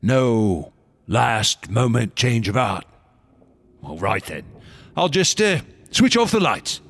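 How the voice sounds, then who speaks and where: An actor in a radio drama playing a paranoid, menacing wax sculptor, with a gravelly voice and a sneering, theatrical, taunting delivery. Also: male voice, medium pitch, sing-song, slow pace, a bit bright, exaggerated voice. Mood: sly.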